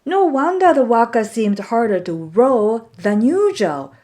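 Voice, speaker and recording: A woman's voice: a little high, big pitch swings, measured pace, mellow, pitched above the performer's own voice. A woman voicing the scornful, exasperated older brothers in a storybook folktale, scoffing and dismissive. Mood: annoyed scorn.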